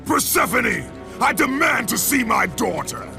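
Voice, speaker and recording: An actor playing a wrathful, battle-hardened Spartan warrior in a video game, with a gravelly growl and a forceful, commanding manner. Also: male voice, very high voice, very animated, over music, exaggerated voice. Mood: furious, demanding. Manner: forceful, commanding, slow and deliberate.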